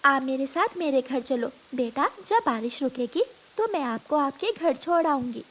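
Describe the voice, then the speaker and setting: A woman voicing a caring, gentle mother sparrow in a cartoon, kindly and motherly. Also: high-pitched, lively intonation, normal speed.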